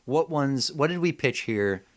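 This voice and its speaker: A man co-hosting a comedy podcast, improvising pitches in casual, jokey banter and slipping into exaggerated bits. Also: lively intonation, a little slow. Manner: jokey, slips into mock voices and exaggerated bits. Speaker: podcast co-host.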